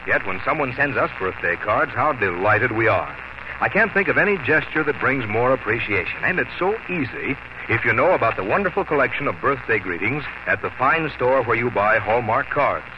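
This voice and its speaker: A man's voice: mid-range voice, big pitch swings, fairly quick, noisy background. A man announcing on 1950s radio, reading scripted advertising and credits with polished emphasis. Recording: muffled 1950 radio broadcast with music.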